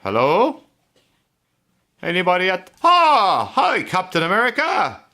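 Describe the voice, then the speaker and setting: Lively, swooping Irish puppet show presenter. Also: mid-range voice, unhurried. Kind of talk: playful puppet show for children.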